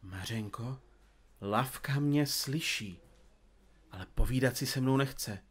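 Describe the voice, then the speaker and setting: A man plays a theatrical male detective from a comedy radio play, dictating to his recorder. He is wordy and a little pompous, with a medium-pitched voice, and likes to tease. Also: husky, natural voice. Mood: playful, mock-sulky. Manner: florid, pompous, teasing. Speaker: detective in a comedy mystery podcast. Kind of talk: audio drama acting with florid narration.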